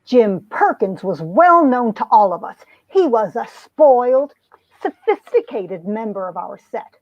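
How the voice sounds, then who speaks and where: A woman's voice: a little high, big pitch swings, unhurried, no room sound, lo-fi sound, full character voice. A woman playing a lovestruck young society heroine who narrates her romance with earnest melodrama, in an amateur comic reading. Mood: reflective. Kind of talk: dramatic reading of a 1950s romance comic, first-person narration.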